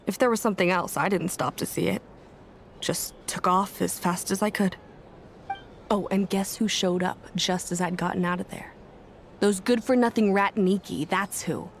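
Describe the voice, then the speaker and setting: A woman's voice: mid-range voice, steady tone, moderate pace, a little hiss or hum, natural voice. A woman voicing a breezy adventurer in a video game, casual and dramatic like someone telling a tale. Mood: wry, dramatic. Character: breezy woman adventurer spinning grim survival tales.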